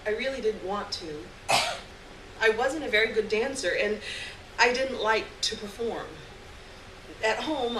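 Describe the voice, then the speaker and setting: Female live story reader, unhurried and expressive, heard from a distance in a roomy space. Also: medium pitch, background noise. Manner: measured, acts out dialogue. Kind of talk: expressive literary short story reading to an audience. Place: indoor live stage reading.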